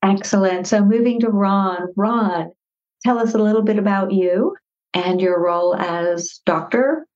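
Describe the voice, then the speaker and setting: A woman's voice: medium pitch, a little slow, darkish tone, highs cut off. A woman hosting a podcast, introducing and interviewing guests in an effusive, affirming manner. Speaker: podcast host.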